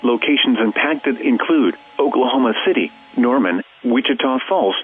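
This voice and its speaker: A synthetic male voice playing an automated weather radio announcer, reading an emergency bulletin in a flat, measured, mechanical way. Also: medium pitch, lightly acted. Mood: flat, urgent bulletin. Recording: tinny narrowband radio, hum and hiss.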